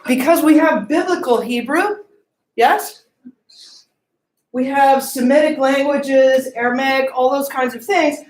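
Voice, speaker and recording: A woman's voice: high voice, unhurried, a little mellow, reverberant, poor audio. A woman giving a classroom lecture, often asking the class questions and checking in with "okay?" and "right?".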